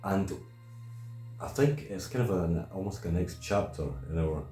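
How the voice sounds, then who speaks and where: A man of about thirty hosting a reflective, casual discussion, speaking in a halting, thoughtful way. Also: deep voice, lively intonation, mellow. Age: around thirty. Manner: halting, thoughtful, repeats words. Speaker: video host. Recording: roomy echo, faint music.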